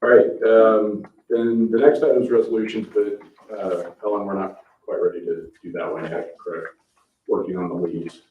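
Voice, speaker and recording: Distant and echoey, this is a man with a low voice running a public meeting. He talks in a procedural, loosely formal way, and the sound is slightly muffled. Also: little pitch movement, normal speed. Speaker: meeting chair. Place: indoor public meeting room.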